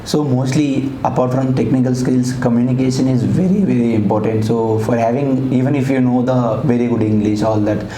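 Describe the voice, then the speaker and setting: A man's voice: medium pitch, fairly even intonation, brisk, hardly any pauses. A man in his twenties with an Indian accent, answering interview questions as a client giving a testimonial. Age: 20s. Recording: echoey room with background noise.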